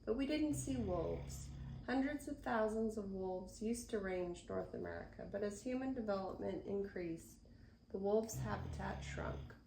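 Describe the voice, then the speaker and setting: An older woman reading a picture book aloud in an expressive, unhurried way, with chatty asides to young listeners. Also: medium pitch, gravelly. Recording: roomy echo, faint background noise.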